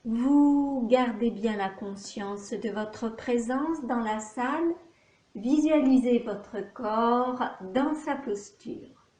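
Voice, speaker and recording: A woman teaching yoga nidra, leading a guided relaxation with calm, measured instructions and long pauses. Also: fairly high pitch. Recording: echoey room.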